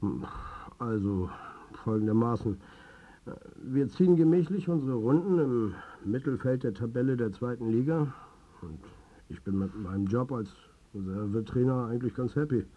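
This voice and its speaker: An actor playing a wry, beleaguered, football-mad club manager in a radio play, talking in a colloquial, confiding way. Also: male voice, medium pitch, lively intonation, moderate pace, breathy edge, lo-fi sound. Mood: weary, explaining. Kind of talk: radio drama narration and dialogue.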